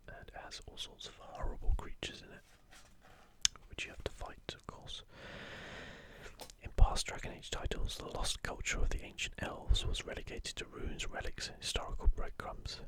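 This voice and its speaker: A man speaking in a breathy, unvoiced whisper, giving soft ASMR commentary as he hosts a video. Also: moderate pace.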